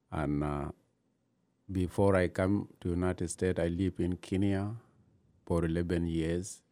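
A man from Ethiopia, telling his own story in halting, with many pauses. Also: low-pitched, darkish tone. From Gambela, Ethiopia. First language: not English. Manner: halting non-native English, many pauses. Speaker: interviewee, new citizen. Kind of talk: personal story in an interview.

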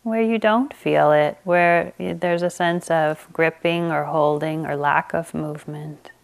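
A woman meditation teacher leading a guided meditation, speaking gently with long pauses. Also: deep voice, fairly even intonation, vocal fry, clear, resonant tone.

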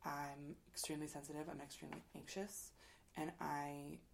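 A woman speaking frankly and at length in a casual interview, rambling with fillers and casual swearing, who says her voice is loud. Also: low-pitched, level tone, normal speed, creaky, a little hiss or hum. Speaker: guest. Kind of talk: candid conversational interview.

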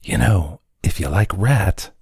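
A man's voice: deep voice, animated, takes it slowly, a little mellow, husky, no echo, clean studio sound, exaggerated voice. A man voicing a weary, anxious delivery driver who is polite and eager to please.

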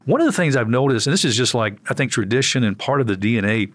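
A man's voice: mid-range voice, very animated, fast, fairly bright tone. A man hosting a radio show, chatting in an upbeat interview style.

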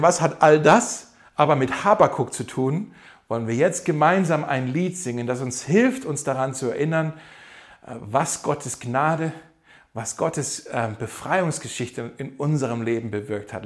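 A male pastor preaching with a fairly high voice. He speaks with emphasis, addresses the congregation directly, and slows down to repeat his key lines. His delivery is measured and earnest. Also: lively intonation. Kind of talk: sermon.

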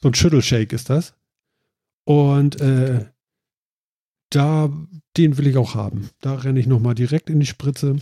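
A man's voice: medium pitch, steady tone, unhurried, pauses often, warm tone, dry recording, studio quality. A man who sounds nasal from a stuffed nose, hosting a casual chat and rambling with many fillers.

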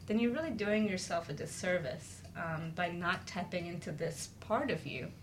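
A woman's voice: lowish voice, lively intonation, normal speed, a little hiss or hum. A woman co-hosting a talk show, sharing personal experience in an earnest, confiding, conversational way.

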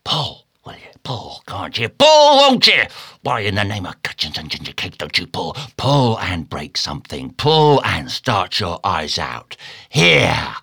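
A man voicing a drawling ship's mate in an audiobook, coaxing and scolding oarsmen, moving between soothing whispers and loud shouts. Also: low voice, very animated, unhurried, gravelly, slightly rough, full character voice. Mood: scolding, exasperated. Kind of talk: audiobook character acting.

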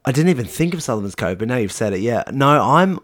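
A man's voice: medium pitch, big pitch swings, quick pace, fairly bright tone, no room sound, clean studio sound. An Australian man co-hosting a podcast in casual chat, with a wry, jokey and opinionated manner. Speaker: podcast co-host.

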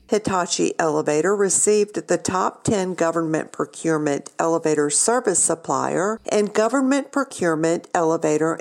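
A woman's voice: low voice, gravelly, a little mellow. A woman presenting scripted industry news on a podcast, reading as a narrator.

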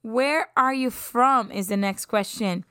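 A woman's voice: a little high, takes it slowly, bright, forceful tone, clear, resonant tone, no room sound, studio quality. Upbeat young woman from Puerto Rico. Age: 20s. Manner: upbeat, laughs often, drops into whispers. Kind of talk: casual self-introduction.

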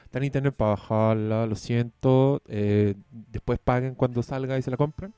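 A man's voice: mid-range voice, steady tone, moderate pace, warm tone, smooth, dry recording. A man with a Chilean accent co-hosting a casual film chat podcast at home, rambling, joking and swearing freely. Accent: Chilean Spanish. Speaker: podcast co-host. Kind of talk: casual chat about films.

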